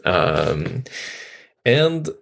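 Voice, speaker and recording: A man with a French accent speaks informally in a fairly low voice. His pace is very slow, and he hesitates often as he looks for the right English word. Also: sing-song, creaky, a bit bright. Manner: hesitant, searching for words. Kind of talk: informal spoken introduction.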